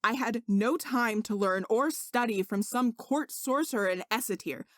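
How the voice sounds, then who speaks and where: A woman narrator plays an aggrieved young male servant hiding his magic. The voice is high-set and dry, earnest and insistent, as in an audiobook fantasy tale. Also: moderate pace, no echo, lightly acted. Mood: pleading, hurt. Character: indignant young male servant, secretly a sorcerer.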